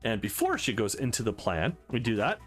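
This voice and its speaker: A man hosts a visual novel let's play in a casual way. His intonation is highly varied, and quiet music plays behind him. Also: sing-song, a little fast. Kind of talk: visual novel read-aloud with casual asides.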